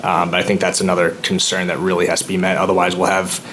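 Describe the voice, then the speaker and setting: Nervous low-voiced man at a town meeting. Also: monotone, a bit bright, a little hiss or hum. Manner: nervous, halting, many ums. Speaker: resident speaking at public comment. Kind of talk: public comment at a town meeting.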